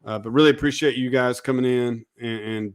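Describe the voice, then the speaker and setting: Man hosting a sports chat show, a bit hoarse, relaxed and chatty, mid-pitched voice. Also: a little fast. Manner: easygoing, thanking viewers in a plain chatty way. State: slightly hoarse. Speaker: host. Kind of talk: casual sports talk show.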